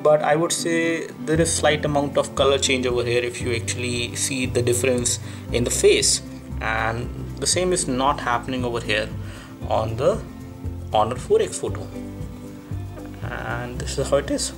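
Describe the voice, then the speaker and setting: A man's voice: mid-range voice, normal speed, over music. A man giving an unscripted product comparison review, explaining and thinking aloud in a repetitive way as he demonstrates. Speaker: tech reviewer and presenter.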